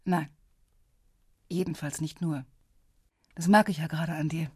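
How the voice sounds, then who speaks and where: An actress playing a tender, candid former lover in a radio drama, speaking softly and with some hesitation. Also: female voice, sits low, fairly even intonation, unhurried, slightly rough, no echo, studio quality, full character voice. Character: tender, candid woman from Copenhagen, old lover. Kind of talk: intimate radio drama dialogue.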